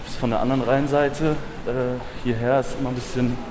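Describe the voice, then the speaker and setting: A man's voice: medium pitch, steady tone, fairly quick. A man guest chatting in a laid-back, slangy way while outdoors in a city district. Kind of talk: casual chat on a walk. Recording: street noise outdoors. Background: city street sounds.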